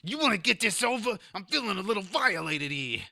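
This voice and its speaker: A man's voice: high voice, sing-song, brisk, gravelly, sharp tone, no echo, clean studio sound, heavily acted. A man playing a cowardly, put-upon demon underling in an audio drama, whiny and indignant. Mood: pained, pleading.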